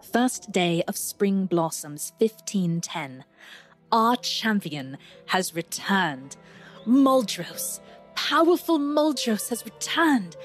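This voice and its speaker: A woman's voice: mid-range voice, lightly acted. A woman playing a proud, fervent young tribeswoman, narrating her journal dramatically in an audio drama.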